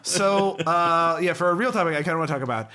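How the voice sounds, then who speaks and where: A man's voice: on the high side, fast talker, bright, forceful tone. A man hosting a casual group chat podcast, with a wry, joking way of talking. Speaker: podcast host.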